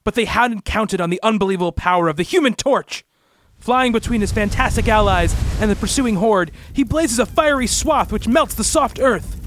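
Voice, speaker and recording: A man's voice: high-pitched, animated, moderate pace, fairly bright tone, no echo. A man narrating a comic-book radio play in a theatrical, bombastic style.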